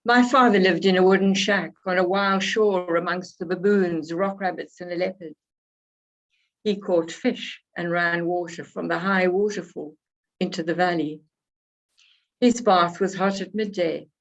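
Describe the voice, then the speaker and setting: A woman from Africa, slightly hoarse from a cold, reading her poems and introducing them in a reflective, unhurried way. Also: on the low side. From South Africa. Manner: unhurried, reflective, pausing between phrases. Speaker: poet. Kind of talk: poetry reading with spoken introductions. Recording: dull lo-fi recording.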